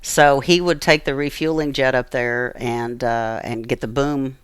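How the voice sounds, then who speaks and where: A woman's voice: extremely low pitch, fairly even intonation, measured pace, smooth, no echo. An American woman telling personal stories as an interview guest, earnest and emphatic, repeating phrases for emphasis. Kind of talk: personal storytelling in conversation.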